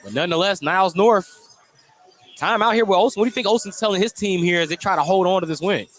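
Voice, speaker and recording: A man doing live play-by-play radio commentary of a high school basketball game, descriptive and excited on the plays. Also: fast talker, soft background music. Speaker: play-by-play sports announcer.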